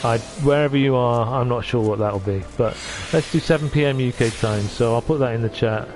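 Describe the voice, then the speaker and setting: A man from North Wales chats casually with his viewers from the sofa at home. His voice sits in an ordinary middle pitch, and he talks gently with lots of pauses and restarts. Faint game music plays quietly behind him. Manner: gentle, hesitant, with many restarts. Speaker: streamer. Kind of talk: casual chat reading out viewer comments.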